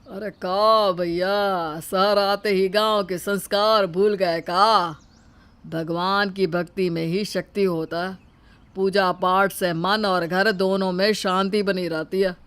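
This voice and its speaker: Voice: mid-range voice, flat delivery, slow, fairly bright tone, clean tone. A performer voicing a pious, overbearing elderly village uncle in an audio moral story, with rustic, scolding phrasing. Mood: preachy.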